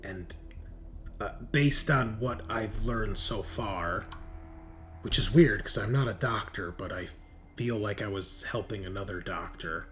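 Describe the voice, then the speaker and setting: A man's voice: on the low side, fairly bright tone, slight background noise. A man voicing a nervous, absent-minded scientist doctor in a tabletop game, hesitant and stammering. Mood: apologetic, unsure. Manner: halting, stammering, hedging.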